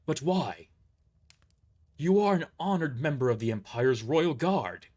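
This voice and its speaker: An honorable crusader knight, played by a man in a fantasy audio drama. He talks formally and earnestly, pleading with an expressive, wide-ranging voice. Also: fairly high pitch, a little slow, voice pushed up. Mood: impassioned.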